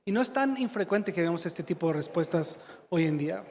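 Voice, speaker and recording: A man from Mexico with a slight Mexican accent and a high voice gives a medical conference talk. He searches for words now and then and fills gaps with "este". A long reverb from a large hall carries his voice. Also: animated, a little fast, a little mellow, slight background noise. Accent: slight Mexican Spanish. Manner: fluent but searching for Spanish words, fillers like "este". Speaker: conference speaker, surgeon.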